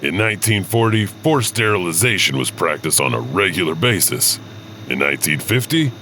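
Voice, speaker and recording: A man's voice: low voice, pushed and bright, slight background noise. A man narrating a horror anthology from a script as its host.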